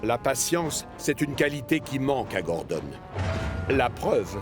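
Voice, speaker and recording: A man's voice: mid-range voice, very animated, unhurried, over loud music. A man narrating a children's cartoon in a playful storytelling way.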